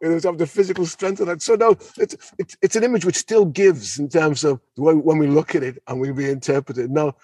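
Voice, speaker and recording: A man with a fairly high, husky voice talks as a researcher on a panel. He is enthusiastic and trips over repeated words, recorded close and dry. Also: brisk, hardly any pauses, darkish tone, no room sound. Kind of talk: conversational expert discussion.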